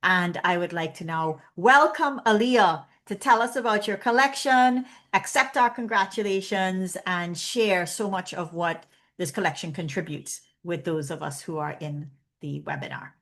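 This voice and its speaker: A woman hosting an online academic seminar, reading a guest's bio in a measured way and handing over to the speaker. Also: lowish voice, fairly bright tone. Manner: measured, reads bio lists. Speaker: seminar host. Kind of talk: formal introduction. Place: online webinar.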